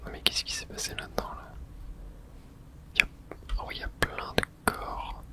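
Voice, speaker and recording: A man whispers throughout, with almost no voice in the breath. He gives ASMR commentary as he plays a video game. His remarks come short and hushed, as if he is thinking aloud. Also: unhurried.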